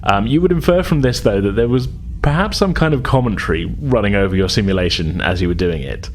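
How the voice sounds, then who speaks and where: A man as game master, narrating a tabletop roleplaying game in a halting, conversational way. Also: fairly low pitch, expressive, slight background noise.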